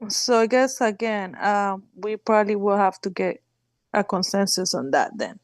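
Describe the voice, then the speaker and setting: A woman with a sore throat chairing a council meeting as mayor over a video call, speaking haltingly with fillers and restarts. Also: mid-range voice, no room sound, clean studio sound.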